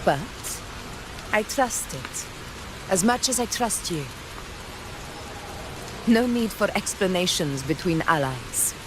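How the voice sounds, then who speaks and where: A grave warrior woman in a fantasy game, with a lowish voice and a very slow, formal delivery. She sounds resolute and measured over clear ambient noise. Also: expressive. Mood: grateful, guarded. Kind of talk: video game voice acting.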